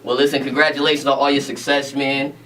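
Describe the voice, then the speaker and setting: A man's voice: mid-range voice, flat delivery, fast, a crowd in the background. A man hosting a casual radio interview, upbeat with frequent affirmations and "you know what I'm saying". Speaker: radio DJ host. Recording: distant mic, faint room noise.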